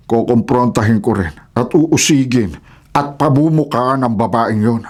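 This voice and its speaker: A man's voice: medium pitch, unhurried, darkish tone, natural voice. A man playing a stern, vengeful older father in a Filipino radio drama, firm and moralizing.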